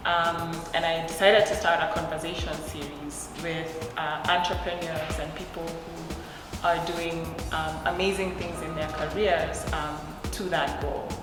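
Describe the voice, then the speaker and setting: Low-voiced woman interview host, echoey room. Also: steady tone, unhurried. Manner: warm, curious questioning with frequent filler sounds and soft affirmations. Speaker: podcast host. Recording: echoey room with long reverb and music bed.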